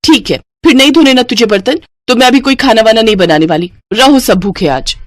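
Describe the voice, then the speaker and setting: A woman's voice: a little high, expressive, brisk, dry recording, close to an everyday voice. Woman playing a bossy, scolding elder sister-in-law. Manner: sharp-tongued, scolding, sarcastic.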